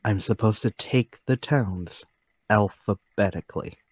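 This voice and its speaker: A man's voice: fairly low pitch, measured pace, breathy edge, no echo. An actor playing a meek, troubled travelling salesman, hesitant and deferential, in a table read of a period murder mystery. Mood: anxious, unsure.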